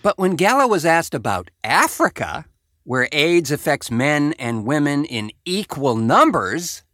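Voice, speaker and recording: A man's voice: on the high side, big pitch swings, a little slow, pushed and bright, no room sound, studio quality. A middle-aged American man hosting a casual comedy podcast, with a wry, wordy, mock-grandiose way of talking. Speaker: podcast host.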